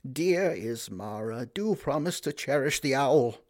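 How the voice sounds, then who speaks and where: A frail, dying old king who is also a mighty magician, as a man reads him aloud, speaking slow and solemn to his daughter. His words come gently and tenderly, at a measured, unhurried pace. The sound is dry and close. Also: medium pitch, lively intonation, no room sound, lightly acted.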